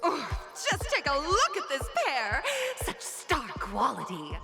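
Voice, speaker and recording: A woman's voice: high voice, wildly swooping pitch, takes it slowly, vocal fry, full character voice. An actress voicing a theatrical female judge in a video game, dramatically setting up scenes for performers. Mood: theatrical, encouraging. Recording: music behind the voice.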